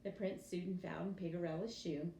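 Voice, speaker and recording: A woman reading a children's story aloud in an expressive teacher's storytelling style. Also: on the low side, moderate pace, creaky. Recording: roomy echo with faint background noise.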